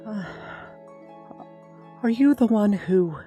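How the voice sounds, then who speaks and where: Woman acting a hesitant grieving middle-aged woman, mellow. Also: mid-range voice, lively intonation, faint music behind. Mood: hesitant, grateful. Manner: hesitant, halting. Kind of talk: dramatized audio story dialogue.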